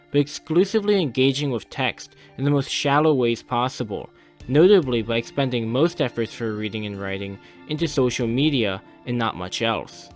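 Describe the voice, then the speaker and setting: A man narrates a scripted video essay with an average to slightly deep voice. His delivery is measured, formal and articulate, with music playing underneath.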